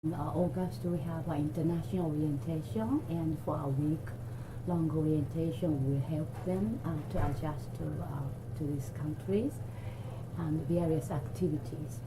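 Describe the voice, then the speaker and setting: A woman with a low voice explains how a program works, with a few fillers. There is clear noise behind her. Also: normal speed. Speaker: international student director. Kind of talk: interview answers. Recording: distant mic, noisy background.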